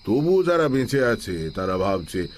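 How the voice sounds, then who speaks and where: Low, measured village holy man. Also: a little hiss or hum. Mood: philosophical. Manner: measured, philosophical, chanting Sanskrit verses slowly. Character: wise, calm village holy man, shrewd and learned. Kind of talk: Bengali audio-drama acting. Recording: steady night insect ambience behind.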